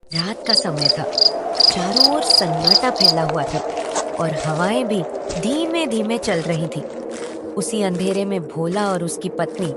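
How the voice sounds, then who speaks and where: A woman's voice: on the low side, lively intonation, measured pace, heavy background noise. A woman narrating a cartoon moral tale in a scripted storytelling style.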